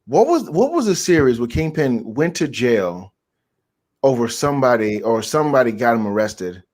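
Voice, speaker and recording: A man's voice: mid-range voice, sing-song, moderate pace, a little mellow. A man chatting casually as a co-host, talking in an emphatic, slangy way. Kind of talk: casual chat.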